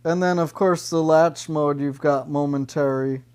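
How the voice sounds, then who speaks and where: Slow-talking man in a casual demo. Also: fairly high pitch, fairly even intonation. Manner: halting, thinking aloud. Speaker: gear reviewer and demonstrator. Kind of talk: casual off-the-cuff product demo. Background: electric guitar.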